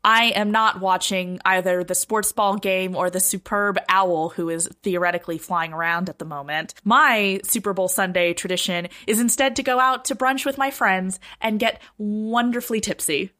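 A woman with a medium-pitched voice co-hosts a podcast. She talks casually and conversationally, offering measured opinions that she qualifies with care. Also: bright, forceful tone. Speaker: podcast co-host.

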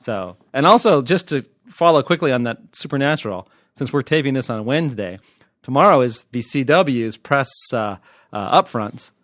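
A man's voice: medium pitch, expressive, moderate pace, a bit bright, no echo, studio quality. A man hosting a podcast with casual comic banter, joking and mock-exasperated. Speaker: podcast host.

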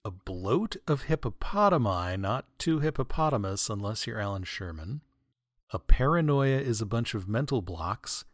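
Animated man delivering comic scripted bits. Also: lowish voice, no room sound, studio quality. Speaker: podcast host reading listener mail. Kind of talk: scripted comic narration with asides.

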